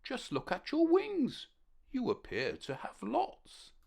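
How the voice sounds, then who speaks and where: A woman's voice: a little high, huge pitch swings, slow, creaky, exaggerated voice. Woman doing a kind, soothing ant doctor. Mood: reassuring. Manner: gentle, reassuring bedside manner. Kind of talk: storybook character voice.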